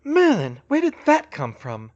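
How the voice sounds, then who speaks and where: Man voicing a high-pitched, excitable young witch. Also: normal speed, lo-fi sound. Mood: startled, anxious. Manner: excitable, thinking aloud. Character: clever, defiant, highly strung young witch heroine. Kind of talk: audiobook fantasy character.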